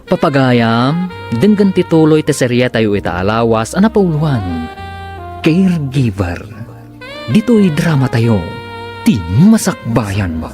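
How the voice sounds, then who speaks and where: A male announcer introduces a radio serial with drawn-out, emphatic delivery. His voice sits at a medium pitch over a music bed. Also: sing-song, darkish tone. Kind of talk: dramatic scripted announcing.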